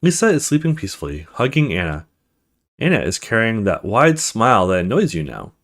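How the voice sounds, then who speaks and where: Animated man narrating a horror visual novel. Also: lowish voice, fairly quick. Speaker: let's play host. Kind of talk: reading a visual novel aloud with offhand commentary.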